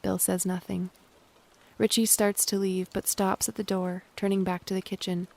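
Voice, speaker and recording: Female narrator for audio drama, flat measured intonation with vocal fry, reading stage directions. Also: medium pitch.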